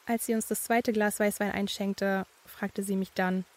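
Young female student narrator, read by an actress, medium pitch, flat intonation, measured eerie storytelling. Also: natural voice. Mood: measured, uneasy. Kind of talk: scripted first-person horror story narration.

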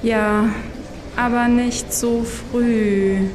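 A woman's voice: fairly high pitch, fairly even intonation, extremely slow. An actress playing a weary, easygoing woman traveller in an audio drama, in a conversational tone. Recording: clear ambient noise behind the voice.

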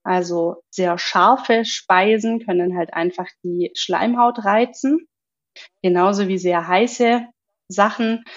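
A woman working as a voice coach and speech therapist, explaining calmly in a podcast interview and often humming along in agreement. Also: medium pitch, highs cut off. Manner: calm, explanatory, with frequent affirming hums.

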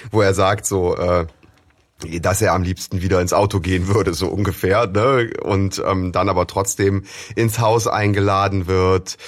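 Deep-voiced guy on a podcast, chatty, lots of "äh" and "ne?". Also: fairly even intonation, moderate pace. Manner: chatty, tag questions, hesitant fillers. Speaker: podcast co-host. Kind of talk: casual chat.

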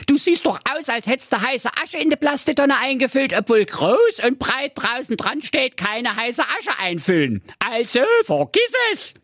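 A wicked stepmother scolds and mocks in a high, shrill falsetto as a man puts her on. The voice swings up and down with scorn, recorded close and dry. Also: sharp tone, dry recording, heavily acted. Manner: scolding, mocking.

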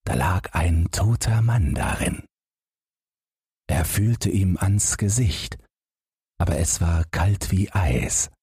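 A man reads a bedtime fairy tale as an audiobook narrator. His voice is low and very husky, recorded close and dry. He takes his time with the scripted story. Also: slow pace, pauses often, dark tone, no echo.

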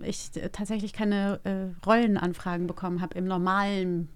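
A woman's voice: medium pitch, little pitch movement, normal speed, clear, resonant tone. A woman speaking as a podcast guest in casual, colloquial and self-deprecating conversation.

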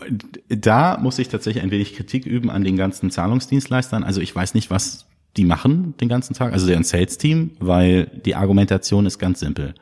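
A man with a notably low voice talks as a podcast guest. He is from Munich and tells his stories with energy, often breaking into a chuckle. The talk is loose and conversational. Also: brisk. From Munich, Germany. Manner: lively storyteller, chuckles while talking. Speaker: podcast guest, crypto shop founder.

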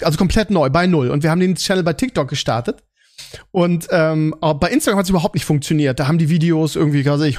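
Male podcast host, German, higher register, loose conversational delivery that circles back on itself. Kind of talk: casual gaming podcast chat.

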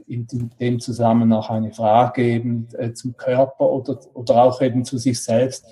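A man's voice: mid-range voice, completely flat delivery, normal speed, dark tone. A Swiss man with a Swiss German accent interviewing a guest, hesitating with fillers and restarts as he shapes his questions. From Switzerland.

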